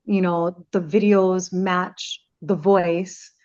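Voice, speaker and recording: A woman's voice: fairly low pitch, flat delivery, very slow, darkish tone. Chatty woman on a dull, lo-fi line. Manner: chatty, explains step by step, playful. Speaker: radio host. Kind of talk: casual chat.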